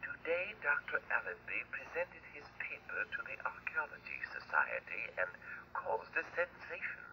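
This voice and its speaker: An actor playing a pompous, declamatory academic in an old-time radio drama. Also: male voice, a little high, wildly swooping pitch, moderate pace, creaky, bright, forceful tone, heavily acted. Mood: self-important. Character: pompous academic man dictating letters. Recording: muffled old radio, faint noise.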